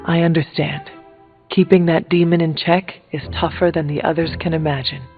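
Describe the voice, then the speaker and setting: An actor playing an earnest, stoic superhero companion in a video game, speaking formally and in a measured way. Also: male voice, on the high side, little pitch movement, lightly acted. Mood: solemn. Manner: formal, measured, without contractions. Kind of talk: video game character dialogue. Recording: tinny band-limited sound, music behind. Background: game music.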